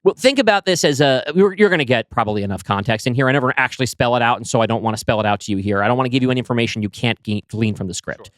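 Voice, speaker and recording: A man co-hosting a podcast, walking his co-host through his own screenplay and reading scenes aloud, with an animated storyteller's delivery and frequent fillers. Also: mid-range voice, racing, hardly any pauses, dry recording, studio quality.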